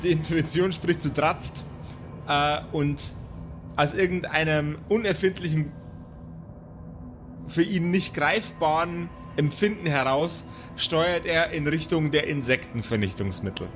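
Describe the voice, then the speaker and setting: A man with a fairly high voice runs an improvised tabletop game as its game master. He narrates in mock-formal storyteller phrases. Music plays clearly underneath him. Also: a little slow, a bit bright. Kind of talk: live improvised tabletop role-play narration. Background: prominent background music.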